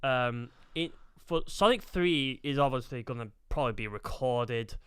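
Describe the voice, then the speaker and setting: A man co-hosting a casual discussion, speaking haltingly and repeating words as he goes. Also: medium pitch, expressive, fairly bright tone, smooth, no room sound. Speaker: podcast co-host. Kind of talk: casual chat about video game films. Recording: music behind the voice.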